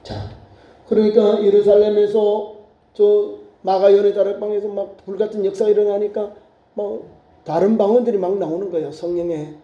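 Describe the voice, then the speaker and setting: A man preaching a sermon as pastor, acting out crowd voices in lively storytelling. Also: high-pitched, muffled recording. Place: church sanctuary. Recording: echoey church room.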